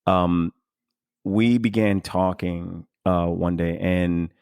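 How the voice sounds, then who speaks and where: A man with a deep voice talks in a very dry acoustic as a podcast host. He feels his way through each thought in a casual, reflective style, earnest and emphatic, often stopping to find the next word. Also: steady tone, gravelly, a little mellow, clean tone, no echo. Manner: halting, searching for words, earnest.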